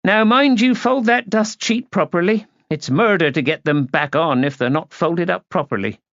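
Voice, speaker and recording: Man as chatty housekeeper woman, raised pitch. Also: normal speed, a bit bright, dry recording, lo-fi sound. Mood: practical, fussy. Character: plain-spoken, chatty woman housekeeper, practical and fussy. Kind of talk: audiobook character voice.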